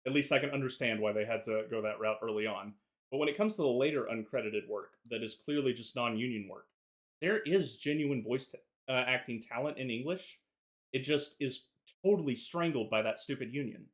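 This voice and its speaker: A man's voice: mid-range voice, brisk. A man from the South, talking in a rambling, opinionated way on a casual podcast. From Southern United States. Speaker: podcast co-host. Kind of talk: casual chat.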